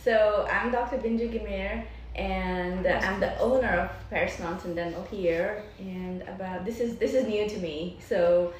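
A woman's voice: medium pitch, fairly even intonation, a little slow, hardly any pauses, slight background noise. A woman from Nepal, a dentist who owns her practice, chatting in an interview with many fillers and restarts. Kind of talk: casual interview chat. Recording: echoey room, distant mic.

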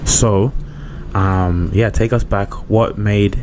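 A man's voice: on the low side, fairly even intonation, slow. A young man chatting casually and slangily as he hosts a podcast interview. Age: young adult. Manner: slangy, conversational. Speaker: podcast host interviewing his father. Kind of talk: casual chat. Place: on a cruise ship. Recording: clearly noisy ambient background.

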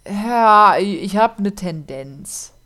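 A woman's voice: medium pitch, lively intonation, slow, a bit bright. Woman podcaster, casual storyteller, filler-heavy. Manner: chatty, laughs often, many fillers. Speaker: podcast co-host telling a true crime case. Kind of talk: casual podcast chat and case narration.

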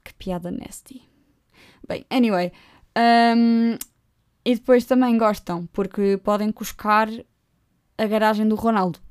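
A young woman with a European Portuguese accent hosting her own podcast, chatting casually and poking fun at herself with quick asides. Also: medium pitch, smooth. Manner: joking, self-mocking, lively asides. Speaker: podcast host. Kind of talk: casual solo podcast chat. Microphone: close podcast microphone.

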